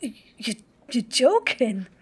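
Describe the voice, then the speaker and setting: An actress playing an older, aggrieved and persistent woman in an audio drama, speaking in a halting, insistent, emotional way. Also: a little high, sing-song, breathy edge, heavily acted. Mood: wry surprise. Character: aggrieved, persistent daughter of a Russian prisoner of war. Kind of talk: naturalistic audio drama dialogue.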